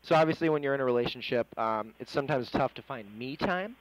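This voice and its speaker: A man's voice: mid-range voice, animated, quick pace, no room sound, poor audio. Casual American man interviewing, close dry sound. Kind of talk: casual phone interview, reading out reader questions.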